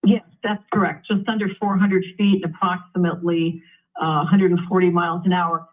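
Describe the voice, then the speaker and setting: Woman, phone-like narrow audio, low-pitched for a female voice, aviation expert, measured explanatory news interview. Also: flat delivery. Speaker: aviation expert, former airline pilot. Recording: narrow phone-like line, radio-like sound.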